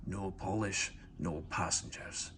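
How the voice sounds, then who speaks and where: A man's voice: low-pitched, fairly even intonation, slow pace, fairly bright tone, slight background noise, voice pushed down. Guy doing a grumpy storybook train engine, mutters and moans, stubborn, really feels hard done by. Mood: stubborn, muttering. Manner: complaining, muttering, obstinate. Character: sulky, grumbling male tank engine with a grievance.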